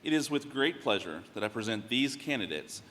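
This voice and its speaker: A man, an academic dean, formally presenting degree candidates at a graduation ceremony in a measured way. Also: medium pitch, big pitch swings, fairly bright tone. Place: large event hall. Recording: long hall reverb, faint background noise.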